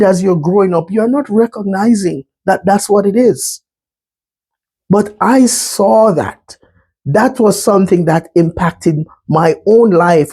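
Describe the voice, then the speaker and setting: A man's voice: sits high, darkish tone, breathy edge. A man with a Jamaican accent giving an earnest slide presentation on mental health, often checking in with "right?".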